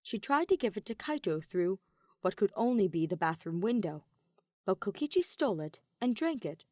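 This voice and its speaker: Female audiobook narrator for dramatic fan fiction; medium pitch, dry studio-like sound. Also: very animated, moderate pace, warm tone, no room sound.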